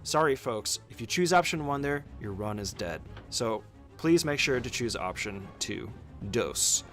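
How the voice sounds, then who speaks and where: A man gives a casual, informal walkthrough of a video game speedrun in a mid-pitched voice. He explains the route step by step like a tutorial, with loose language and some swearing. Soft music plays behind him. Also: animated, unhurried.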